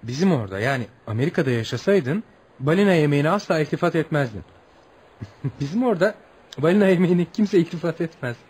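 Quick-talking, curious American gentleman traveller. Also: a little high, lively intonation, slightly rough. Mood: amused, explaining. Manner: theatrical, musing, with asides to himself. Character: polite American male traveller, curious, amused, humouring his host. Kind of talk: radio theatre acting.

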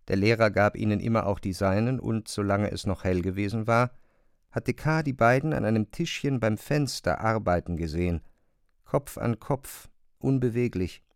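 A man's voice: lowish voice, clean tone, dry recording, studio quality. A man reading literary narration for a radio play in pronunciation.

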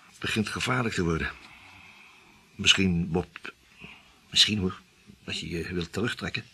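An actor playing a determined, level-headed detective in a radio play, reasoning things through earnestly. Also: male voice, deep voice, normal speed, gravelly, bright, forceful tone, breathy edge, heavily acted.

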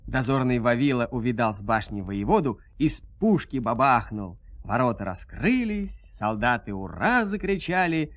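A man narrating a 1970s audio fairy tale in an animated, folksy, theatrical manner. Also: mid-range voice, normal speed, hardly any pauses, no echo. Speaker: storyteller narrator.

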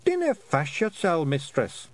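A man's voice: on the high side, huge pitch swings, unhurried, voice pushed up. A man voicing a tiny, bold pictsy clan leader with a blunt, emphatic Scottish dialect, in a storybook reading. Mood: cheerful.

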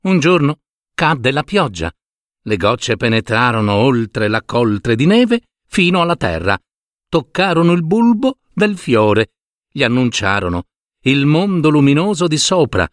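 A man narrating a fairy tale as a storyteller, in theatrical, deliberate phrases. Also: mid-range voice, big pitch swings, dry recording, studio quality.

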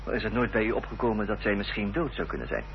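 An actor playing a determined gentleman investigator, formal and reasoning, in a period radio drama. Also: on the low side, expressive, fast talker. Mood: grave, direct. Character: earnest, determined gentleman investigator in Victorian mystery. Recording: dull, noisy, humming old radio recording.

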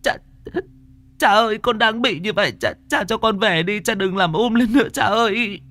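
A shrill, terrified young wife. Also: male voice, normal speed, sharp tone. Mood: frantic. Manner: stammering, pleading, sobbing.

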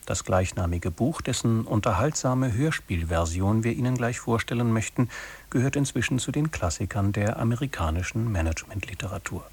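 A man's voice: on the low side, expressive, a little fast, husky. A man delivering a scripted introduction as a radio announcer.

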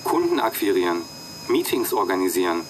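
A man's voice: low-pitched, very animated, fairly quick, a little hiss or hum, rough recording. A man playing a glib, self-assured job candidate in a radio drama, speaking casually.

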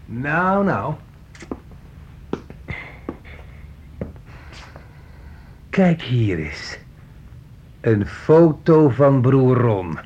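A man's voice: mid-range voice, sing-song, very slow, a little mellow, breathy edge, full character voice. An actor playing a menacing, bitter grown son who taunts and bosses his mother around in a radio play. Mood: sardonic. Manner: taunting, bossy, mock-friendly. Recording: muffled old radio play recording.

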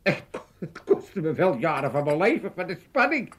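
Casting: elderly Amsterdam father for radio comedy; easygoing, cheeky, rambling, with lively swooping delivery. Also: fairly high pitch, normal speed, breathy edge, exaggerated voice. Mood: boastful, excited. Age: older. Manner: hesitant, roundabout storytelling. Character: old father, chatty, cheeky, easygoing gambler. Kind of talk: 1980s comic radio play acting.

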